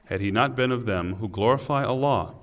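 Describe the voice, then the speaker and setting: A man reading a scripture translation in a solemn, formal, deliberate way, with pauses. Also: on the low side, a bit bright. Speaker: translation reader. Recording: long hall reverb.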